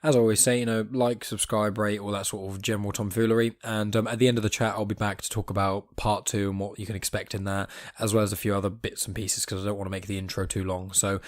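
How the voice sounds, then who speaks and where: A man's voice: lowish voice, little pitch movement, fast talker, few pauses, dry recording, studio quality. A man hosting a podcast in a casual, chatty style, with informal asides. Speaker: podcast host. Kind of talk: casual chat.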